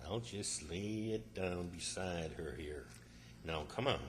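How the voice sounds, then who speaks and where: A man's voice: deep voice, slow. A man playing an exasperated, scheming country fellow in old-time radio comedy style, with a folksy, fussy manner. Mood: scheming, hushed. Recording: reverberant hall stage recording.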